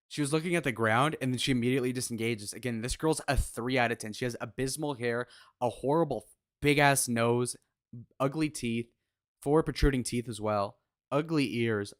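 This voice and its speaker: A young man talks over clips during a live stream. His commentary is blunt, crude and mocking. He keeps it loose and casual, with a voice of ordinary pitch. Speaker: streamer reacting to videos.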